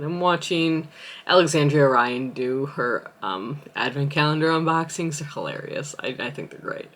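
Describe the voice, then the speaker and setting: A woman's voice: deep voice, fairly bright tone. A young adult woman, an aspiring author, chatting casually at home in a vlog, rambling and correcting herself as she thinks aloud. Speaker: aspiring author vlogging her writing.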